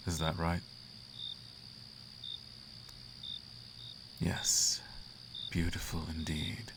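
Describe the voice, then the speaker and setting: A man playing a seductive, imperious demon prince in a flirtatious roleplay ASMR, speaking in a courtly, teasing manner with a creaky low voice. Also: background noise. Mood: smooth, musing.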